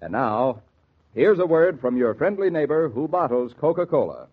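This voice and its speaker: A man announces in classic 1940s American radio style. His mid-pitched voice is lively and husky. The vintage transcription is thin and tinny. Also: moderate pace. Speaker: radio announcer and sponsor's spokesman.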